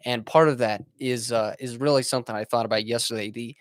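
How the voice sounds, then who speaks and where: A young man in his twenties hosts a solo podcast, talking earnestly to his listeners. He speaks in a motivational way, often pausing on fillers and restarting his sentences, and his voice sits at an ordinary middle pitch. The recording is dry and close, with no room sound. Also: fairly even intonation, no room sound. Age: 20s. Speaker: podcast host. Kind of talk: casual monologue.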